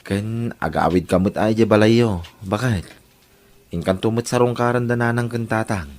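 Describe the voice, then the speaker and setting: A man's voice: lowish voice, normal speed, darkish tone, natural voice. A man playing a controlling, jealous working-class husband in an Ilocano audio drama, with a Filipino Ilocano accent. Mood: tender, insistent.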